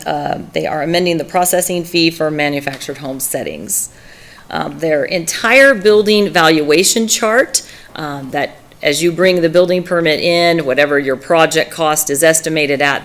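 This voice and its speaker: A woman giving a staff report to a city council in a fairly low voice, hoarse and smoky from allergies. She keeps clearing her throat and fills pauses with "um", presenting fee changes in a practical, matter-of-fact way. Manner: frequent throat clearing and filler words. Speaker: municipal services director.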